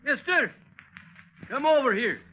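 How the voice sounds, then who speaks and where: A man's voice: extremely high pitch, expressive, unhurried. A man playing a brash, nosy tavern patron in a 1940s radio drama. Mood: brash, prodding. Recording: tinny, narrow 1940s radio recording.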